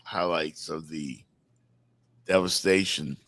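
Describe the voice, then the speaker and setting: A man's voice: very deep voice, slow, fairly bright tone. A man from the Bahamas co-hosting a casual morning show, often repeating phrases for emphasis. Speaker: talk show co-host.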